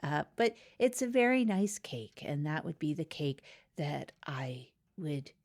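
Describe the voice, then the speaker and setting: A woman hosting an improvised comedy podcast, talking in a conversational, deliberate, explanatory way. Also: low-pitched, very animated, a little mellow, dry recording, clean studio sound. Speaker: podcast host.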